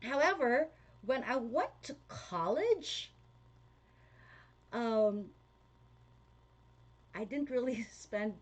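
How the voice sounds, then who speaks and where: A woman's voice: fairly high pitch, expressive, extremely slow, pauses often. A young woman with a Filipino accent, chatting casually to her viewers, with frequent laughs and fillers. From Philippines. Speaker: vlogger. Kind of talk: casual talk to viewers, reading comments.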